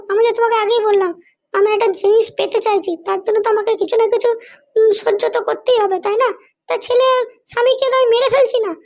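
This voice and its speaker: A scheming madam from a comedy cartoon, voiced by an actor, squeaky and extremely high like a child's voice. The talk is quick, bossy and threatening, full of ruthless self-justifying ambition. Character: scheming, power-hungry madam, ruthless mother seeking political power.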